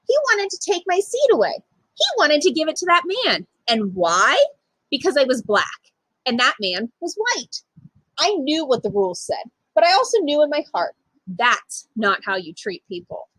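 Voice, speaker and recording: Animated, high-pitched woman reading a storybook. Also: no echo, rough recording. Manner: emphatic, with dramatic pauses and acted dialogue. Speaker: storytime reader, literacy program manager. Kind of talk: expressive picture-book read-aloud for children.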